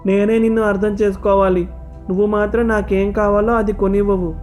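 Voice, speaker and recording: A performer voicing a sulky, demanding young village boy in an animated moral story, whiny and petulant. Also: mid-range voice, fairly quick, faint music behind, lightly acted. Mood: sulky, indignant.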